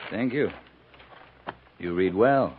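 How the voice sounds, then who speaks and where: Terse cavalry captain, muffled old radio. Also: male voice, fairly low pitch, slow pace. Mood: calm, measured. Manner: terse, understated, dry. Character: seasoned cavalry captain, dry, calm, commanding. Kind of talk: 1950s radio western acting. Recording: muffled, hissy 1950s radio recording.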